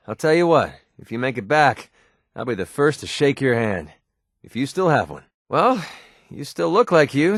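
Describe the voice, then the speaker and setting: An actor playing a wry, cocky young mercenary in a video game, with a sardonic, casual, colloquial delivery. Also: male voice, medium pitch, dry recording, studio quality, close to an everyday voice. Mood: impressed, wry. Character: wry young male mercenary, cocky, wary, protective father. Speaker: video game companion character. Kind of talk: video game voice acting, casual banter lines.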